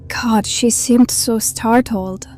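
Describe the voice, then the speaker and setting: A woman's voice: a little high, fairly even intonation, takes it slowly, darkish tone. An actress playing a teasing, sardonic, hard-drinking female hireling who coaxes her companion in an audio drama. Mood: startled.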